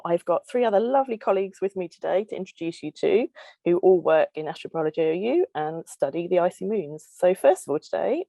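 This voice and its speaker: Woman host for a live science talk, low voice, dry close sound. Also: creaky, mellow, no echo. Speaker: host and postdoctoral researcher. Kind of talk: friendly live webinar presenting.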